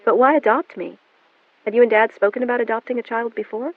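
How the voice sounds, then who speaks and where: A woman reading an audiobook voices an adopted young daughter, quiet and then insistent and demanding. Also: fairly high pitch, fast, dry recording, voice pushed up, natural voice. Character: adopted young adult daughter, cold and accusing. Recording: narrow phone-like band, dry.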